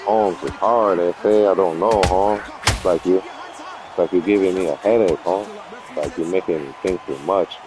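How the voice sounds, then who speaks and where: A laid-back streetwise homeboy, as a man puts him on, talking low and slow. He calls everyone Holmes and murmurs his threats almost under his breath, staying cool the whole time. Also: background noise, natural voice. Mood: menacing.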